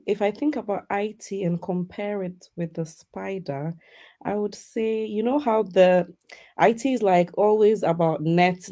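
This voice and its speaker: A woman's voice: on the low side, warm tone. A woman from Ghana, chatting casually as an interview guest. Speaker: guest, digital business mentor.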